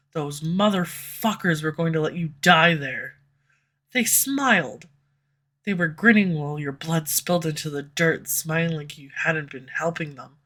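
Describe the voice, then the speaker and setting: A woman voicing a sharp-tongued, tsundere female villain who swears and speaks sarcastically, recorded close on a studio condenser mic. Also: sits low, full character voice. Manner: sarcastic, swears, turns confessional. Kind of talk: audio roleplay. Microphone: studio USB condenser mic.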